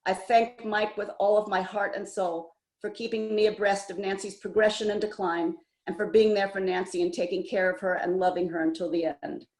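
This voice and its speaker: The voice is a woman in her seventies with a medium pitch, heard in an echoey room over an online call. She reads a prepared personal tribute in an earnest, heartfelt manner. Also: flat delivery, a little fast, hardly any pauses, a little mellow. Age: 70s. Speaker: memorial speaker. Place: indoors on an online video call. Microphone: video call microphone.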